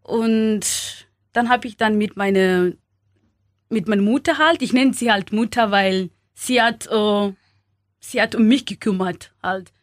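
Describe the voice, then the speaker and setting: A young woman from Kenya speaking German as a second language, halting with many fillers and small grammar slips, as an interview guest. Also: mid-range voice, dry recording, studio quality. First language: not German. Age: young adult.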